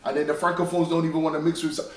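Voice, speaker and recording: A man's voice: on the high side, little pitch movement, very fast. A young man from the UK, a guest in a casual interview, talking emphatically, repeating points and checking that the listener follows. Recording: roomy echo, distant mic, faint noise.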